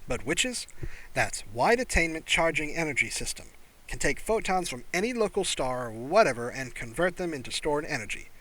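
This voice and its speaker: A confident female pilot from a science fiction audiobook, played by a male reader. She is breezy and enthusiastic, proud of her machine and a little playful. The delivery is bright. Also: fairly high pitch, rough recording.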